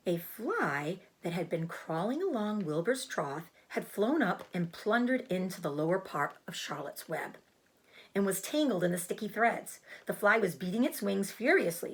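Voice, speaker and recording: A woman's voice: fairly low pitch, breathy edge. A woman narrating a children's storybook aloud as a teacher would.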